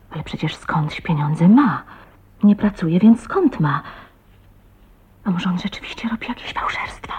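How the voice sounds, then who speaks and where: An actress playing a shy, tender, anxious young wife in a radio drama, speaking in a breathy, hesitant, intimate way. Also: female voice, medium pitch. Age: young adult. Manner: breathy, hesitant, emotive, often half-whispered. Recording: dull, muffled old radio recording.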